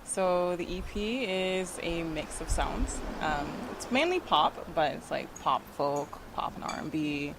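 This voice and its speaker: A young woman talks about her music in a relaxed, chatty way and laughs easily. Her voice sits on the low side. Clear background noise from an outdoor event runs under her speech. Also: unhurried, few pauses. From Grenada, raised in Barbados. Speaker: singer-songwriter guest. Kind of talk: casual interview.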